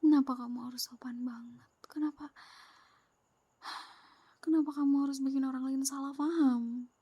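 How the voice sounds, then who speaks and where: A young woman voicing a clingy, possessive, jealous girlfriend in a whispered ASMR roleplay, soft, sweet and needy, close to the microphone. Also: high-pitched, steady tone, normal speed, dry recording, dull audio, close to an everyday voice.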